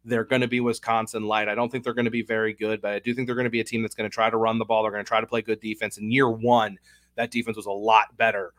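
Low-voiced man with a head cold. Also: flat delivery, fast, a bit bright, no echo, studio quality. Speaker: podcast co-host. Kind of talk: casual sports betting chat.

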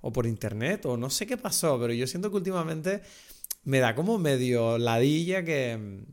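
A young man with a Canarian accent, co-hosting a casual film podcast, animated and quick to laugh, acting out quoted lines. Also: mid-range voice. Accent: Canarian Spanish. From Canary Islands. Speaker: podcast co-host.

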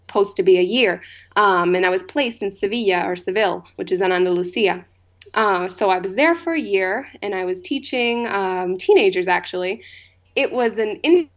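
A woman from Massachusetts, a food tour founder answering interview questions in a chatty way, often using "you know" and "um". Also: mid-range voice. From Massachusetts, USA.

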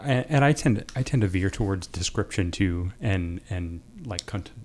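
A man's voice: low-pitched, animated, moderate pace, darkish tone. A man speaking as a guest writer, discussing and reading short fiction. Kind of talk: panel chat and reading own short fiction.

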